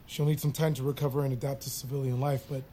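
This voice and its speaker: An actor playing a measured, cautious male psychiatrist, speaking formally and carefully in an audio drama. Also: medium pitch, flat delivery, dark tone, slight background noise, natural voice. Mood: polite, formal. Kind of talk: naturalistic audio drama acting.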